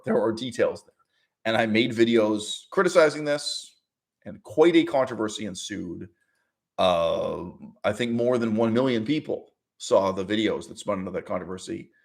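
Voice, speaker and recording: A man giving argumentative online commentary in an emphatic, sardonic way, with rhetorical questions and deliberate repetition. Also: fairly low pitch. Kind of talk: argumentative commentary with read-out quotes.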